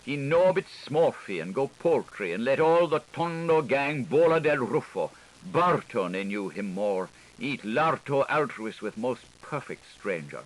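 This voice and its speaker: A man's voice: medium pitch, very animated, a little slow, few pauses, poor audio. A man reading experimental literary prose as an audiobook in a theatrical, playful style, with rhythmic delivery of wordplay. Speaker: audiobook reader. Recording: hissy older studio recording.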